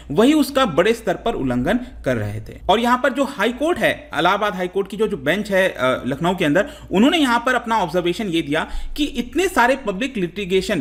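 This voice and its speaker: A man's voice: high-pitched, a bit bright. An Indian man explaining current affairs like an online teacher, conversationally, mixing in English terms and posing questions that he then answers.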